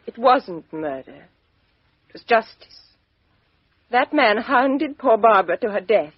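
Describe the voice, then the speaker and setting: A woman's voice: fairly high pitch, lively intonation, a little slow, husky, no room sound. An actress playing a proud, defiant young woman in a 1950s radio drama, speaking in a clipped, emphatic way. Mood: bitter, defiant. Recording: dry, dull lo-fi 1950s radio recording.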